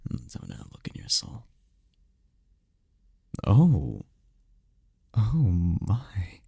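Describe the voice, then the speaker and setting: Man acting as male incubus lover, very dark husky voice, soothing, teasing, intimate ASMR roleplay, close dry mic. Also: wildly swooping pitch, extremely slow, no echo, full character voice. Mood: tender, reassuring. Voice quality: gravelly, dark low voice. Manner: soft, soothing, teasing, lapses into whispers. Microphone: close microphone, dry.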